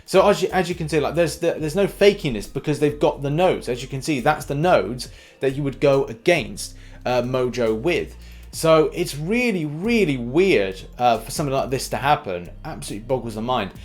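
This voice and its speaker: A man presents video game news in a conversational, informal style. His voice sits at an ordinary male pitch. Music plays underneath his commentary. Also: expressive, normal speed. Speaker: host of a gaming news video. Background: background music.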